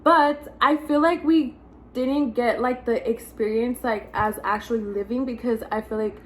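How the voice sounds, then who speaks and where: A young woman hosts in a casual, conversational way. Her voice sits fairly high and has a little rasp, with noticeable noise behind it. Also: steady tone, unhurried. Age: young adult. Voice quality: slightly raspy. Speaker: host, vlogger. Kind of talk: casual chat.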